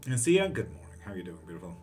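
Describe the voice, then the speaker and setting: A man's voice: lowish voice, soft background music. A man from Greece, hosting a live tarot stream and reading out viewer comments in a loose, profane, chatty way. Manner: relaxed and profane, with quick laughs.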